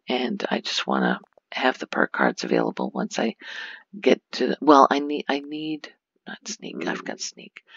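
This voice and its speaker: A woman giving a soft-spoken ASMR game tour, hushed and often slipping into a whisper, breathy and creaky, rambling unhurriedly as she thinks aloud. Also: rumbling low, no echo, highs cut off. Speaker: gaming ASMR host.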